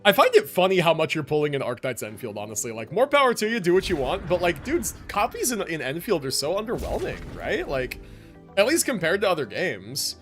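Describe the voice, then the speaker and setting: Animated, higher-voiced man livestreaming a game. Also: a little fast, soft background music. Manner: informal gamer slang, rambling. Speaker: live game streamer. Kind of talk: casual livestream commentary, chatting with viewers.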